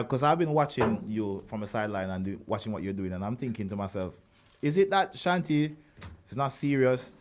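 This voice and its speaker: A man with a Jamaican accent hosting a radio interview show, teasing and laughing with his guests in casual banter. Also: mid-range voice. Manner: lively, teasing, laughs often.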